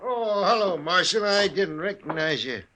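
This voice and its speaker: An actor playing a blustering, bigoted American stable hand in a western radio drama. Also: male voice, high-pitched, animated, normal speed, background noise. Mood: impatient. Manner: impatient, blustery.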